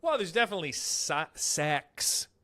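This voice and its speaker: A young man with a slightly higher voice rambles through crude, profane stories and acts out the people in them. His podcast talk is loose and casual. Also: very animated, unhurried, bright, forceful tone. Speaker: podcast co-host.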